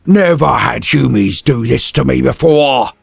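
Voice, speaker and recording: Man doing a hulking orc warlord, gravelly bark, coarse slang, taunting. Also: mid-range voice, lively intonation, measured pace, no room sound, pitched above the performer's own voice, full character voice. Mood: enraged. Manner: gruff slangy barking, coarse grammar.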